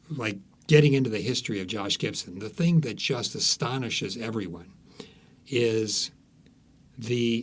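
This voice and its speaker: A man speaking as an author guest in an interview, telling stories in a halting, emphatic way that repeats phrases. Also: medium pitch. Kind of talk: conversational storytelling interview.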